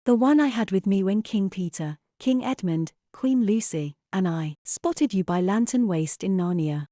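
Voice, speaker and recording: A woman plays an earnest young mother in an audio drama, torn between doubt and hope. Her voice is soft-edged and mellow at a medium pitch, deliberate in delivery, recorded close and dry. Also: expressive, no room sound, natural voice. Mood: earnest pleading. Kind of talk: amateur audio drama acting.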